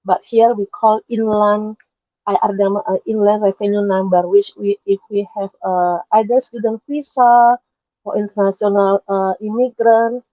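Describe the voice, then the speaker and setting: Halting woman from Indonesia, dry call audio. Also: medium pitch, little pitch movement, no room sound, highs cut off. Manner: halting, searching for words. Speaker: entrepreneur and panelist. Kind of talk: casual group video call.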